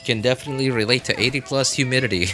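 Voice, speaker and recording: A man's voice: medium pitch, fast talker, bright, forceful tone, soft background music. A man live-streaming games, chatting casually with viewers in an informal, rambling way and repeating phrases for emphasis.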